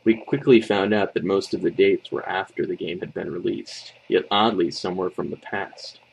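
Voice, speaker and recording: A man reading a scripted horror story as narrator, with a gravelly voice and a measured, dramatic delivery. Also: deep voice, lo-fi sound. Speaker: creepypasta narrator. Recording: faint background noise.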